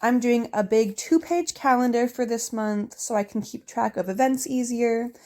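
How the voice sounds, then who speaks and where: A young woman narrating a journaling video in a chatty, upbeat voice-over, slightly hoarse from a cold. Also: on the high side, normal speed, dark tone. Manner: chatty, upbeat, talks straight to viewers. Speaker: bullet journal vlogger.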